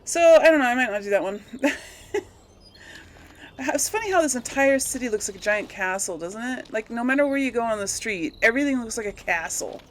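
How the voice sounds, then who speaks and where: A woman's voice: a little high, expressive, a little fast, a little hiss or hum. A woman giving casual gameplay commentary, rambling and thinking aloud as she goes. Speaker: let's play host.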